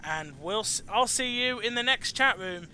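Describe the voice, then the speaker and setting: A man in his twenties with a British accent, hosting a casual online chat in a halting way, often repeating words. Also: sits high, animated, pushed and bright, slight background noise. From United Kingdom. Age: 20s. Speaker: podcast host.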